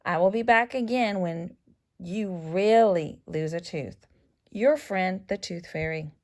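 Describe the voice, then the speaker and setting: A woman voicing a diligent, friendly tooth fairy in a children's storybook, speaking carefully and deliberately. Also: lowish voice, a little mellow. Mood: kindly. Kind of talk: children's storybook read-aloud.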